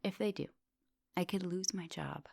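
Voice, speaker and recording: Woman doing a coaxing vampire lady professor for asmr roleplay, lowish voice, bit gravelly, polite and softly bossy. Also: moderate pace, no room sound, studio quality. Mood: hushed, worried. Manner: coaxing, polite, formal phrasing. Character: soft-dominant, coaxing female vampire professor, ancient but youthful. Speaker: teacher.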